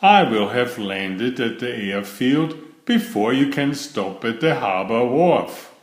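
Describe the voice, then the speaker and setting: A man's voice: mid-range voice, lively intonation, takes it slowly. Man as a proud storybook helicopter, echoey room. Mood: proud boasting. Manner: haughty. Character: proud, boastful male helicopter. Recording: roomy, echoing voice recording.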